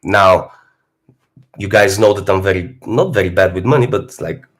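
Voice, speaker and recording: A man, hoarse from a cold, talking bluntly and philosophically in a casual livestream monologue as a fitness streamer. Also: low voice, normal speed, fairly bright tone. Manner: blunt, philosophizing, swears freely.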